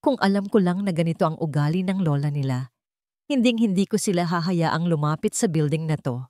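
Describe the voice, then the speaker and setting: A woman voicing a proud young single mother in a drama story, calm but hard and defiant. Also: on the low side, brisk, dry recording, clean studio sound, lightly acted. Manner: calm yet firm, bitter.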